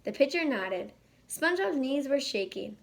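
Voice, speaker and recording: Female storyteller for a children's read-aloud, slightly high voice, expressive delivery. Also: moderate pace, creaky, low-quality recording. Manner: animated, acts out dialogue. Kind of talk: expressive picture-book narration.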